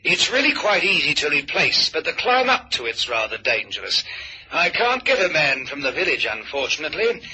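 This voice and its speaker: An actor playing a shady, soothing village parson with a folksy, cajoling manner in an old-time radio mystery. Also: male voice, fairly high pitch, very animated, normal speed, sharp tone, a little hiss or hum, heavily acted, poor audio. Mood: businesslike, chatty. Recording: vintage radio recording.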